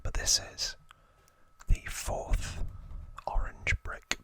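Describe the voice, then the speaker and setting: A man in his thirties whispering in a breathy voice, telling a story as an ASMR host in short phrases with pauses. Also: sharp tone. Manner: slow, deliberate, short phrases with long pauses.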